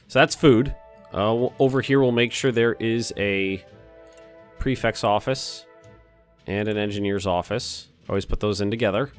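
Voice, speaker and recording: A man with a slightly hoarse voice, casually commenting while he plays a city-building game, thinking aloud and cursing now and then. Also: on the low side, normal speed, soft background music. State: a little hoarse. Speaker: let's play host.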